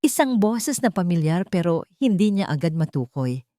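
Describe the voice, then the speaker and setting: A Filipino woman narrates a dramatic story in a fairly low voice. The sound is dry and close, with little room to it. Her delivery is that of a scripted audiobook drama. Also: big pitch swings, normal speed, dark tone, no room sound.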